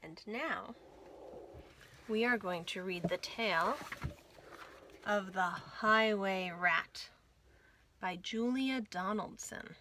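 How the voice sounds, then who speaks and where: A woman reading a picture book aloud for young children, expressive and deliberate, with dramatic emphasis. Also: medium pitch, a little hiss or hum. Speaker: children's librarian reading storytime.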